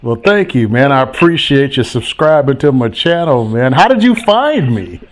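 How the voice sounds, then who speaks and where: Male coach-host, mid-pitched, animated and preachy, repeats points. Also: normal speed, a little hiss or hum. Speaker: host, real estate coach. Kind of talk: casual call-in chat.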